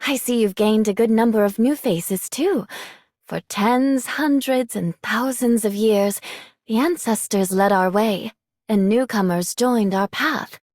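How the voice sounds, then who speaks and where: A woman's voice: a little high, unhurried, darkish tone, no room sound, clean studio sound, close to an everyday voice. A woman playing a cheerful young woman with a reflective streak in scripted video game voice lines. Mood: warm, reflective. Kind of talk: scripted game character voice line.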